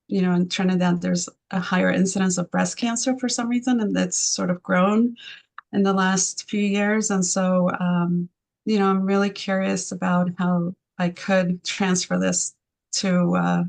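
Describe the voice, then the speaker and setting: A woman from Argentina, a native Spanish speaker with an Argentine accent, answering interview questions thoughtfully with many pauses and fillers. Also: mid-range voice, gravelly. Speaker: arts program founder.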